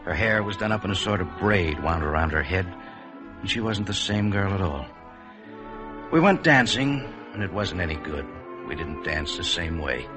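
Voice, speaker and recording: A wistful American man telling his own story in a 1950s radio drama. His voice sits low and his delivery is plain, reflective and rueful, with music clearly behind him. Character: wistful man regretfully recalling a lost romance. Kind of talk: 1950s radio drama narration, first person.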